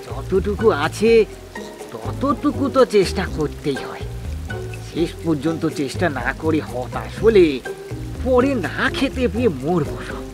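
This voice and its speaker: A man voicing an anxious, stammering village farmer in a cartoon, with rustic speech. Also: a little high. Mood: earnest. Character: anxious, hard-working village farmer, timid husband. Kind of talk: cartoon voice acting.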